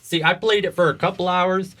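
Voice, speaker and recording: A man's voice: high voice, fairly even intonation, a little fast, fairly bright tone. A man hosting a video game podcast, chatting casually in a rambling, slangy storytelling way. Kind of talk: casual chat about video games.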